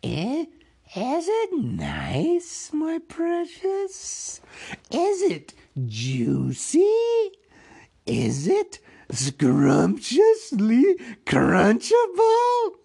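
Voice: sits high, wildly swooping pitch, creaky, dark tone, pitched above the performer's own voice, full character voice. A reader voicing a wheedling, hungry cave creature in a storybook, hissing and whispery, drawing out words and muttering to itself. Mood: gloating, hungry. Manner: drawn-out, repeats words, talks to itself.

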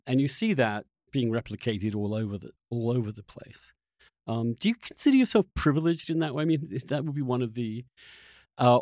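A man's voice: medium pitch, darkish tone, no echo. A male podcast host with a mid-range voice, recorded dry and close. He thinks aloud in a reflective interview style, circling back and restarting sentences as he searches for the right phrasing. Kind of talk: reflective long-form interview conversation.

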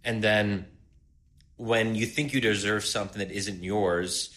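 Deep, bright-toned man musing aloud. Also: fairly even intonation, a little slow. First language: English. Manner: thinking aloud with many fillers and restarts; laughs lightly while making points. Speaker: podcast co-host. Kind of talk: casual intellectual discussion.

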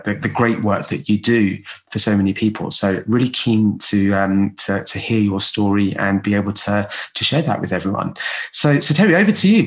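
A man hosting a podcast, introducing and welcoming guests with halting, searching phrasing. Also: fairly low pitch. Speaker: podcast host.

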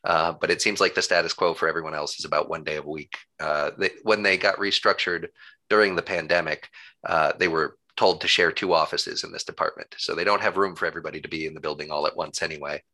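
A man's voice: extremely low pitch, steady tone, fast talker, bright, forceful tone. A man being interviewed in a conversational way, giving wordy, upbeat explanations as a local government employee.

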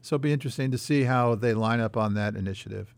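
A man hosting a conversational policy news discussion, explaining things in a measured way. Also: mid-range voice, creaky, dry recording, studio quality. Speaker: podcast host leading the discussion.

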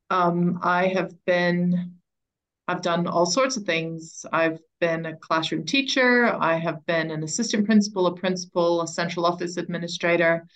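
A woman's voice: lowish voice, dull audio. A middle-aged woman speaking as an interview guest, explaining her work in a conversational way. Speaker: interview guest, education coach.

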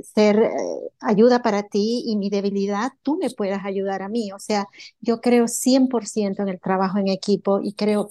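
Earnest woman with a mellow voice. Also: mid-range voice. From Bolivia. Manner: earnest, many filler sounds. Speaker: entrepreneur guest. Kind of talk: interview answers.

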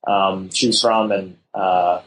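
Guy on a podcast talking about his work, relaxed and kinda halting, lots of ums. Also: sits low, a little hiss or hum, poor audio. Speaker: wedding photographer guest. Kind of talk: casual interview chat.

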